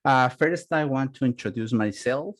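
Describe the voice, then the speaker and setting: A native Spanish-speaking man with a Spanish accent gives an informal webinar presentation as a business consultant, searching for words in halting English. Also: medium pitch.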